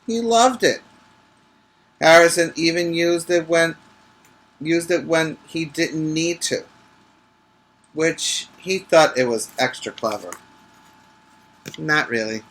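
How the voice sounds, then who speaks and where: A man's voice: on the high side, bright, forceful tone, poor audio. A male teacher reading a picture book aloud to preschoolers, adding instructive asides and short questions for the young listeners.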